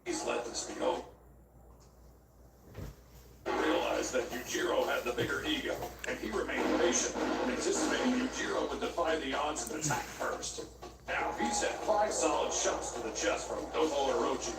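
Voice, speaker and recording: An actor playing an excited male fight commentator in a dubbed anime, calling the action in dramatic bursts, heard from a TV across the room. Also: medium pitch, normal speed, gravelly, reverberant, recorded from a distance, low-quality recording. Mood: tense. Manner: dramatic, shouting play-by-play. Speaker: fight announcer. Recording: anime dub from a TV across the room, loud music.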